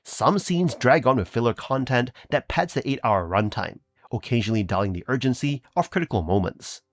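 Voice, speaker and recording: A man's voice: medium pitch, animated, a little fast, dry recording, studio quality. A man narrating scripted video game reviews as a reviewer, with a polished, promotional delivery.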